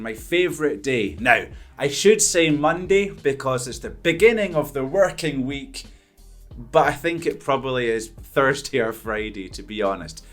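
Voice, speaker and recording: A Scottish man in his thirties, an English teacher, talking to camera at a studio mic in an upbeat, earnest, emphatic way. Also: mid-range voice. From Scotland. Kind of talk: direct-to-camera talk answering questions. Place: indoors before a green-screen backdrop. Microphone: studio condenser mic in front.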